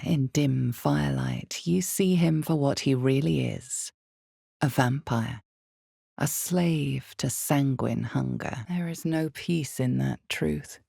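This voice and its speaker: An actress playing an omniscient, grave and slyly knowing narrator of a fantasy role-playing game, speaking in a measured, dramatic way with hushed emphasis. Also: female voice, very deep voice, gravelly, breathy edge, dry recording, clean studio sound, heavily acted.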